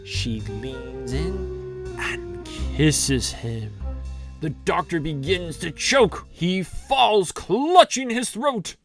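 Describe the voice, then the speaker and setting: Male narrator, theatrical sixties-serial announcer, higher register, measured pace, music underneath. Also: lively intonation. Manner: dramatic, campy announcer delivery. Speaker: narrator of a fan audio drama. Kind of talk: theatrical scripted narration in 1960s serial style.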